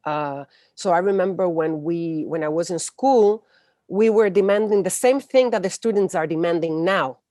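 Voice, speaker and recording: A woman's voice: lowish voice, normal speed, dark tone, no echo, clean studio sound. A woman in her forties with a Chilean accent and Spanish as her first language, explaining things in an interview and often searching for words. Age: 40s. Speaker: interview guest. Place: indoors, room with bookshelves, on a video call. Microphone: wired earphones on a video call.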